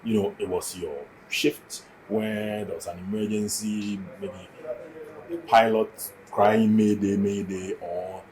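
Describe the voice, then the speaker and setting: A man with a fairly low voice hosts a television interview. He asks conversational questions, probing with short follow-ups to get the details. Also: little pitch movement, slow, crowd noise, low-quality recording. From Ghana.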